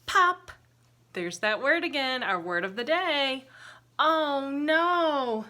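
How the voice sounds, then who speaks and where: A woman reads a storybook to young children with a bright, fairly high voice. She takes her time, slipping between expressive narration and sing-song lines. Simple questions invite the little listeners to join in as a teacher would. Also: slow. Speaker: teacher reading a picture book aloud to young children. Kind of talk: interactive children's storybook read-aloud with counting and singing.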